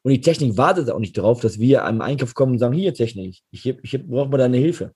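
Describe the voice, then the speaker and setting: Hoarse man, dark husky voice. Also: mid-range voice, quick pace. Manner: relaxed, agreeable, often affirming. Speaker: podcast co-host. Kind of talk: casual business chat.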